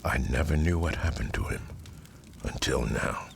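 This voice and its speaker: An actor playing a cautious, guilt-ridden older warrior and father in a video game, solemn and deliberate in manner. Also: extremely low pitch, animated, gravelly, noisy background, heavily acted. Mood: sombre, resolute. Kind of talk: dramatic video game voice acting. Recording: loud music behind. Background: game score music.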